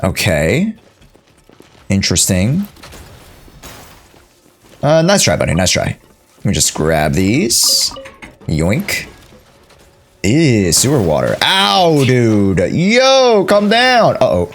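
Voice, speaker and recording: A young man giving casual live gameplay commentary as a streamer, playful, slangy and quick to react, often talking to himself. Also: mid-range voice, wildly swooping pitch, fairly bright tone. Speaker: game streamer. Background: faint game music.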